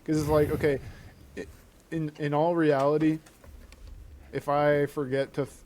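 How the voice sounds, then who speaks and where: Mellow-voiced man on a casual podcast. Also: fairly high pitch, level tone, moderate pace, a little hiss or hum. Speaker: podcast co-host. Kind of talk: casual chat and reading posts aloud.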